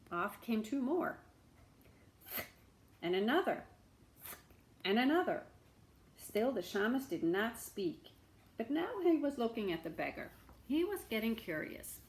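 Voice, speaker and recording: A woman reading a story aloud expressively, with dramatic pauses and small asides to the listeners. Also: a little high, big pitch swings.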